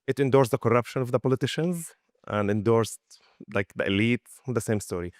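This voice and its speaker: A man's voice: mid-range voice, a little fast, no echo, studio quality. A man from Syria asking the panel a question from the audience, polite and emphatic.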